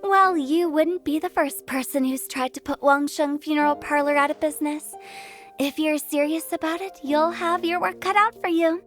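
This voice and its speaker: An actress playing a cheeky, scheming young funeral director in a video game, talking in a wry, playful, theatrical way. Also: female voice, high-pitched, normal speed, fairly bright tone. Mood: playful challenge. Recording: faint music behind the voice.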